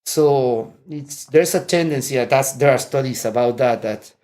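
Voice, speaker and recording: A man's voice: mid-range voice, normal speed, darkish tone. A man in his forties from Mexico, with a Mexican Spanish accent, chatting as an interview guest, laughing often and restarting his sentences. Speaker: interview guest, martial arts instructor. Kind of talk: casual interview chat. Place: indoor room, seated.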